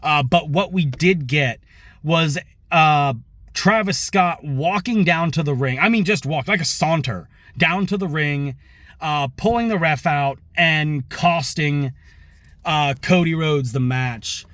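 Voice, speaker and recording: Slightly hoarse, higher-pitched man giving casual commentary. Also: takes it slowly, fairly bright tone, no echo, clean studio sound. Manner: conversational and rambling, with asides and self-corrections. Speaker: wrestling reaction show host. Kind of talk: casual opinion commentary to viewers.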